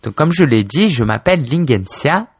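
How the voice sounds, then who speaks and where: A man's voice: mid-range voice, sing-song, normal speed, breathy edge, no room sound, studio quality. A man in his twenties from France, a blogger talking casually and informally to his listener, hesitant, with many fillers and restarts. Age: 20s. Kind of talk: casual solo talk to camera.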